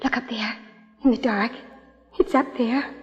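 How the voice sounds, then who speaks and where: Casting: actress for frightened young woman's ghostly dream twin, high and pleading, insistent, reverberant 1950s radio thriller. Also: steady tone, measured pace, highs cut off, faint music behind, lightly acted. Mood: fearful. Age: young adult. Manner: eerie, pleading, urgent. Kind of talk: 1950s suspense radio drama acting.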